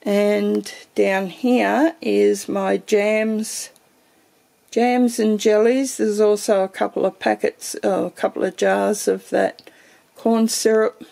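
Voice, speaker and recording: A woman's voice: mid-range voice, level tone, slow, gravelly, mellow. A woman giving a casual, meandering tour of her home pantry, thinking aloud as she films her shelves. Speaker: homesteading vlogger.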